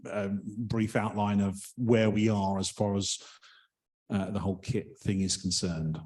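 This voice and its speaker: A man chairing a members' meeting in a hall, polite and hesitant with many pauses and ums. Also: fairly low pitch, flat delivery, darkish tone.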